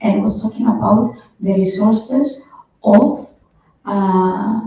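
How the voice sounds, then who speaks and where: A woman's voice: mid-range voice, takes it slowly, warm tone. A woman speaker answering an audience question at a conference, hesitant with many fillers, recorded from far off in a hall. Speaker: plenary speaker. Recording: roomy hall, far from the mic, dull.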